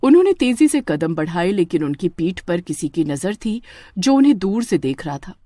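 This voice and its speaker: A woman's voice: lowish voice, big pitch swings, normal speed, warm tone, dry recording, clean studio sound. A woman narrating a horror story in a dramatic scripted style. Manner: dramatic, with stammering in character lines.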